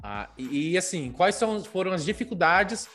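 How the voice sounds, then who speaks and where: A man's voice: high-pitched, normal speed, faint music behind. A man hosting a podcast and putting questions to his guests with many fillers. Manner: steady, filler-heavy questioning. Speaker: podcast host. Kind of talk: podcast interview.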